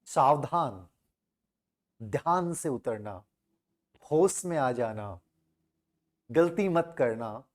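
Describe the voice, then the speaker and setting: A wise, experienced climbing teacher in a moral tale, played by a male storyteller. He advises and cautions in a calm, measured way at a middle pitch. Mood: cautioning.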